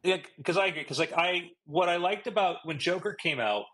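A man's voice: high voice, a little fast, a bit bright. A man co-hosting a podcast, telling a rambling story in casual chat, recorded on a close webcam in a room at home. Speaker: podcast co-host.

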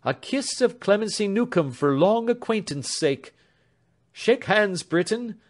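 A man's voice: high voice, lively intonation, a little slow, pitched above the performer's own voice. A man voicing an earnest, fervent young suitor. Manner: fervent, heightened, tender. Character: earnest young man, loving, taking his leave.

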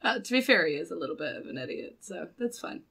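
A woman's voice: lowish voice, very animated, fast, vocal fry, fairly bright tone, breathy edge. A woman with a New Zealand accent chatting casually and playfully as a tabletop game player.

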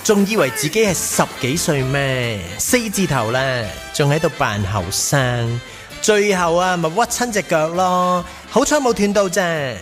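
A campy, nosy Hong Kong man in a radio comedy. His fairly high voice swoops around in a Hong Kong Cantonese accent, teasing over light music. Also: animated, unhurried. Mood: gossipy narrating. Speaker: lead and narrator of radio drama. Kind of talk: comedic radio drama narration and dialogue. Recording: radio drama with music underneath.

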